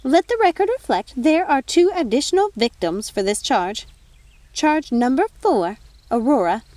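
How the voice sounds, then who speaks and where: Brief: storybook fairy godmother, old, prim and bossy, very high and swooping, full of officious indignation. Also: big pitch swings, moderate pace, darkish tone, no room sound. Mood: officious outrage.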